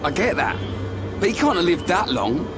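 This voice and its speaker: A man acting a hot-headed, blunt young fighter in a video game, slangy and quick to protest. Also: sits high, sing-song. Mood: sceptical. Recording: game audio with music bed.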